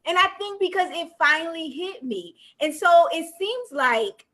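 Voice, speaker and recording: Woman, 30s, talk show host, chatty and personal, high-pitched. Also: a bit bright.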